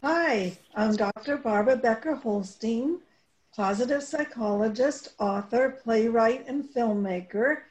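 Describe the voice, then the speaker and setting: Lady director introducing her show, talks real slow and careful, voice a bit high. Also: a little mellow, low-quality recording. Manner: deliberate, phrase by phrase. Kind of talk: prepared spoken introduction.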